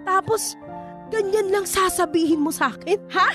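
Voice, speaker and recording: A woman's voice: extremely high pitch, moderate pace, over music. An actress playing an abusive, drunken, bitter mother in a Filipino radio drama, scolding and belittling. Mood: scornful. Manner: scolding, belittling, hot-tempered.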